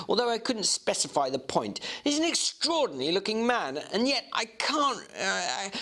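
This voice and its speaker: Man reading as earnest young Victorian gentleman, emphatic, halting, slightly sullen, raised pitch, swooping intonation. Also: creaky, heavily acted. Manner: emphatic, halting, searching for words. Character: earnest young English gentleman, bewildered, slightly sullen, recounting strange sights. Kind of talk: dramatic reading of a Victorian novel.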